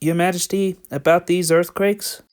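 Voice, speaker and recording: Woman as a low-voiced, nervous cartoon underling. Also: level tone, moderate pace, a little mellow, natural voice. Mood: cautious. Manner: hesitant, deferential. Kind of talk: animated comic dub voice acting.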